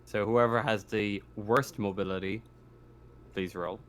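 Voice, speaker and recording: A man's voice: on the low side, steady tone, a little slow, a bit bright. A man improvising narration as game master of a tabletop horror roleplaying game. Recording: clear background noise with clicks.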